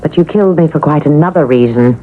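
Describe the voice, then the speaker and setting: A woman's voice: deep voice, fast. An actress playing a reproachful, theatrical female ghost of an eighteenth-century woman in a 1970s radio drama. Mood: accusing. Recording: muffled, noisy old radio broadcast.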